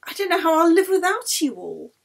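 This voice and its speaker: A woman's voice: extremely high pitch, sing-song, brisk, gravelly, pitched above the performer's own voice, heavily acted. A woman voicing a frail, ailing woman in an audiobook, affectionate and plaintive, with a faltering delivery. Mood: tearful.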